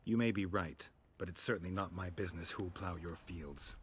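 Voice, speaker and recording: An actor playing a gruff, blunt, world-weary monster hunter in a video game, speaking tersely and dryly. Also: male voice, sits low, moderate pace. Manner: terse, dry, questioning. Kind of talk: video game dialogue acting.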